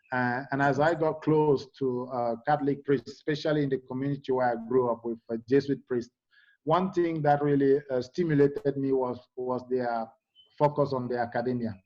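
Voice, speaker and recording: A middle-aged man from Cameroon, a guest in a conversational interview, speaking in a halting way with many fillers and restarts. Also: mid-range voice, darkish tone, dull audio.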